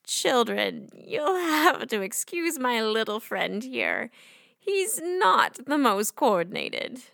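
A gentle, composed female guide speaks slowly with a creaky edge to her highish voice. She sounds patient and kindly, in a close, dry children's audio drama recording. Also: huge pitch swings, dry recording, heavily acted. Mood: gently amused. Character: kindly, composed female guardian introducing her donkey friend.

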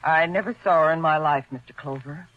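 A woman's voice: low-pitched, brisk, no echo. A woman acting a composed, politely fussy housewife with a hostess manner, in 1940s radio style. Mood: polite, fussing. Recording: muffled, noisy vintage radio recording.

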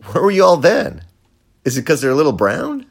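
A man's voice: on the high side, wildly swooping pitch, a little fast, vocal fry, breathy edge. An American man hosting a podcast, delivering a sarcastic, profane opinion rant. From United States. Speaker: podcast host. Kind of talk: opinion monologue.

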